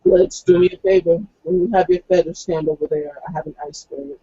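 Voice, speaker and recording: A man's voice: on the high side, huge pitch swings, fast, gravelly, warm tone, lo-fi sound, slight background noise. A man talking casually as a player in a tabletop roleplaying game.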